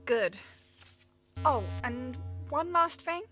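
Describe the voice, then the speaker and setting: A woman's voice: high voice, expressive, extremely slow, pushed and bright, faint music behind, heavily acted. A woman playing a guilt-ridden scientist who blames herself, talking with anguish and urgency. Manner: anguished, self-blaming, urgent pleading. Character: guilt-ridden female scientist, younger sister. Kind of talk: amateur radio drama acting.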